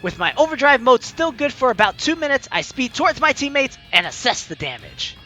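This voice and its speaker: A man with a strikingly high, bright voice reads a visual novel aloud as a let's play host. His delivery is theatrical and dramatic, over background music. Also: very animated, a little fast, muffled recording. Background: game music.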